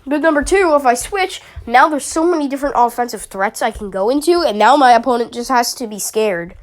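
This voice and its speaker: Young woman with a stuffy cold, somewhat high pitch, loose chatty gamer commentary. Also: brisk. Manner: chatty, rambling, with many fillers. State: sniffly with a summer cold. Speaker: YouTuber analyzing a draft league team. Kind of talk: casual commentary.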